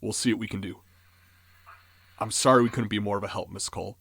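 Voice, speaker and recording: A man playing a calm, sceptical police officer in an audio drama, speaking in a measured, formal way. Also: fairly low pitch, vocal fry, heavy background noise. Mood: calm, dismissive.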